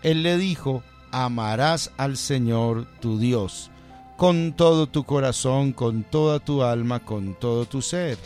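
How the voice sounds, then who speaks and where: A man, a priest, gently interviewing a guest about her faith and reading the day's Gospel solemnly. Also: mid-range voice, slow pace, soft background music. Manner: gentle, affirming, reads scripture solemnly. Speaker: priest hosting.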